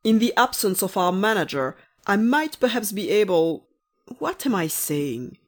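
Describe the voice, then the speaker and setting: A woman voicing a polite, fussy, easily astonished office gentleman in a storybook reading. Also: medium pitch, sing-song, measured pace. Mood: flustered. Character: nice, flustered, courteous gentleman office official.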